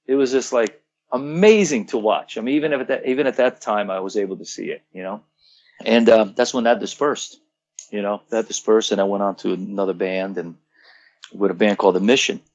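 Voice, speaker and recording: A man's voice: medium pitch, no room sound, lo-fi sound. A man from Croatia, a rock singer in his fifties, telling stories from his youth in a casual interview and acting out what people said. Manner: animated storyteller, swearing, acting out quotes.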